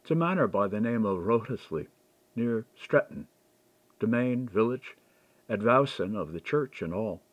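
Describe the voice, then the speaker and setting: A man reading as a shrewd, animated clerk. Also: fairly low pitch, measured pace, no echo. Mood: explaining. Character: lapsed monk turned clerk, candid, shrewd man. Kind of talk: audiobook character dialogue. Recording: dull, lo-fi dry recording.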